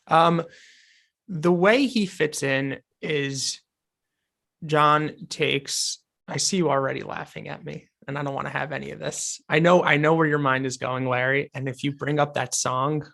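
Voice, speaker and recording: A man hosting a podcast chat with a gravelly edge and a bright, tense tone. He thinks aloud, circling back and restarting as he works through his point. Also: a little high, steady tone, unhurried. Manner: thoughtful, hesitant restarts. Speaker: podcast host.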